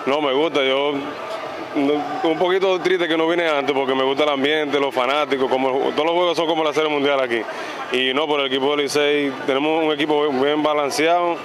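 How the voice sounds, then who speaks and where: A man in his thirties who grew up in the United States, a baseball pitcher answering interview questions outdoors on a ball field into a handheld mic, calm and soft-spoken, slipping English words into his speech. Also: a little fast. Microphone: handheld mic held by interviewer. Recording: noisy outdoor stadium ambience.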